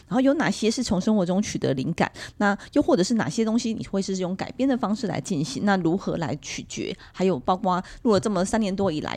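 Taiwanese lady hosting an interview, talks quick n wordy, polite, close dry sound. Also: mid-range voice, a little mellow, no echo. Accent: Taiwanese Mandarin. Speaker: podcast host, interviewer. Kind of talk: conversational interviewing.

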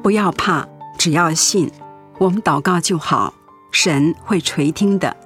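A woman acting in a radio drama as a gentle, devout mother who speaks in a soothing, reassuring way. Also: fairly low pitch, measured pace, faint music behind. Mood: reassuring, tender.

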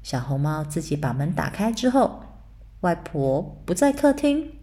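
A woman narrating a bedtime story for children in a soft, soothing way. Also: on the low side, big pitch swings, measured pace. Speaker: storyteller mother.

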